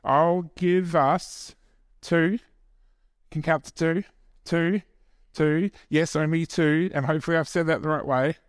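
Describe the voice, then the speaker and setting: A man's voice: on the high side, fairly even intonation, measured pace, clean tone, no room sound, clean studio sound. A man with a New Zealand accent preaching an informal sermon at a church service, joking about himself and chatting with the congregation. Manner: self-deprecating and joking, chatty asides to the audience.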